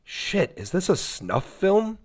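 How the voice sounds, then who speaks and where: A man's voice: high voice, very animated, slow pace, pitched above the performer's own voice, exaggerated voice. A man voicing an ordinary, curious and uneasy male horror story protagonist, casual and questioning. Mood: uneasy disbelief.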